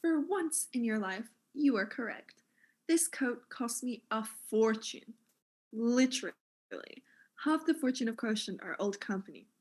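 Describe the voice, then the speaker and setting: Casting: woman as vain fashion mogul for comic audio mystery, higher-pitched, theatrical, condescending, flippant. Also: expressive, measured pace, darkish tone. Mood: indignant, boastful.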